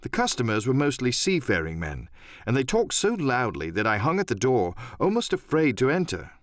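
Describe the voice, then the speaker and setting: A man's voice: mid-range voice, wildly swooping pitch, moderate pace, dry recording, studio quality. A man narrating an adventure audiobook in a dramatic first-person storytelling style.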